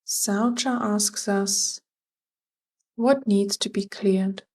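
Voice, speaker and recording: A woman leading a scripted guided meditation, giving gentle, measured and soothing instructions. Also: medium pitch, steady tone.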